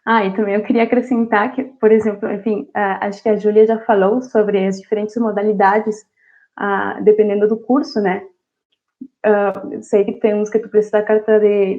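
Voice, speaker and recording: A woman's voice: medium pitch, level tone, normal speed, mellow. A woman from Venezuela, a native Spanish speaker, telling her story in an interview in a reflective way with many fillers and restarts. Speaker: interview guest, university student. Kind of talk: casual interview answer. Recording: dull lo-fi audio.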